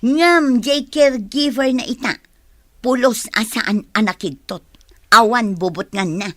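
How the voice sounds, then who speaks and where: A woman's voice: a little high, measured pace, no echo, clean studio sound, close to an everyday voice. An actress playing an insistent, scheming woman with a demanding temper in a radio drama. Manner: insistent, conniving. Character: demanding, scheming woman after company money.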